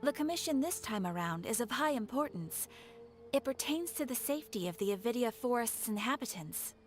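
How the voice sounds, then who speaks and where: Woman playing game quest-giver, earnest, formal, measured, slightly high voice, soft music behind. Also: animated, close to an everyday voice. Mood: grave, earnest. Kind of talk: video game voice acting.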